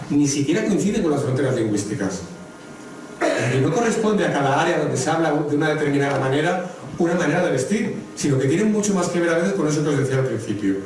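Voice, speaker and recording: A man's voice: fairly high pitch, a little mellow. Madrid-accented man lecturing in an echoey hall. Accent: Madrid Spanish. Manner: explanatory, addresses the audience directly. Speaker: guest lecturer on traditional dress. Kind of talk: public lecture with slides. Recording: echoey hall, distant mic, faint noise.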